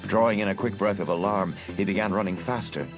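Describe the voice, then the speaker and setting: A man's voice: deep voice, quick pace. A man narrating a dramatised audiobook from a script, telling the story dramatically. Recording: music playing behind narration. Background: music.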